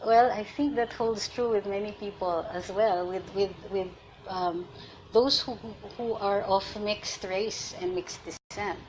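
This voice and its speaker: A woman's voice: medium pitch, steady tone, measured pace, a little hiss or hum, low-quality recording. A woman from the Philippines with a Filipino accent, reading viewer comments and explaining in casual mixed Filipino and English, with many fillers and restarts. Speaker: online show host reading viewer comments.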